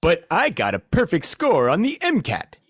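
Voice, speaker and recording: A man playing a bossy, insecure zombie hunter in a comedic audio play, with a husky voice and an excitable, commanding delivery. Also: on the high side, moderate pace, dry recording, clean studio sound, exaggerated voice. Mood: indignant. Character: bossy, insecure male zombie-hunting team leader.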